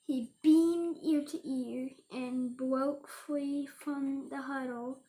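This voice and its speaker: A woman's voice: high-pitched, fairly even intonation, very slow, mellow, low-quality recording. A young girl reading a rhyming storybook aloud and chatting casually, stumbling over and repeating words. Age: child.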